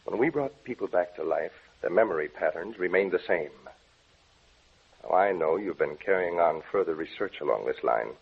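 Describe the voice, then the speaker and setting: An actor playing a brooding, obsessed scientist with a grave, melodramatic delivery in an old-time radio drama. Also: male voice, low-pitched, expressive, moderate pace, vocal fry, breathy edge, full character voice. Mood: coldly determined. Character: brooding, obsessed male scientist plotting to kill his beloved. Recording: muffled old radio recording.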